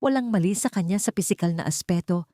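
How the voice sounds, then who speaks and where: A Filipino-accented woman voicing a serious male family doctor in an audio story, speaking in a grave, measured way. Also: fairly low pitch, lively intonation, dark tone, no echo, clean studio sound, lightly acted.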